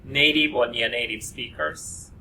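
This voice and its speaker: A man introducing faculty at a student orientation, speaking haltingly with many pauses and fillers. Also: mid-range voice, steady tone, gravelly, sharp tone. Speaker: program coordinator at an orientation. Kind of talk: presenting to students. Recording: distant mic with faint noise.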